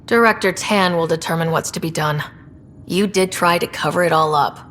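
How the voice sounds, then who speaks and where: An actress playing a brisk female expedition leader in a sci-fi game. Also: lowish voice, fairly bright tone, a little hiss or hum, lightly acted.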